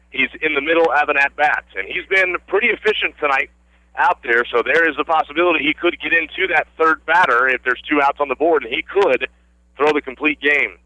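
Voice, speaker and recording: A man's voice: fairly high pitch, fairly quick, pushed and bright, no echo, poor audio. A man working as a radio announcer, giving live baseball play-by-play in short, descriptive phrases from the ballfield. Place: outdoor ballfield broadcast position.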